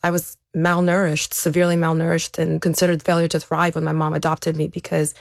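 Low, creaky-voiced woman speaking reflectively. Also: monotone, a little fast, dry recording. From born in Ukraine, raised there to age seven, then America. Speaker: interview guest, elite athlete. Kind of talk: reflective personal account in conversation.

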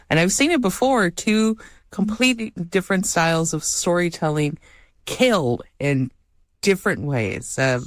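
A panel moderator whose first language is Spanish, asking guests measured questions. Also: medium pitch, no echo. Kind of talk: panel discussion.

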